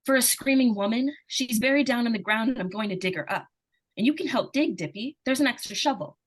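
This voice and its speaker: महिला की आवाज़ में दृढ़ निश्चयी छोटी लड़की, ऊँचा स्वर. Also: female voice, a little high, a little fast, natural voice. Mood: insistent. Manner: earnest, insistent, plain childlike phrasing. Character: earnest, determined young schoolgirl telling her story. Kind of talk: old-time radio suspense drama, performed live.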